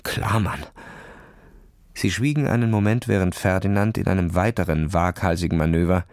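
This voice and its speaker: A man's voice: sits low, fairly even intonation, quick pace, a little mellow, clear, resonant tone, dry recording, clean studio sound. A man narrating a children's story in a dramatic, scripted reading style.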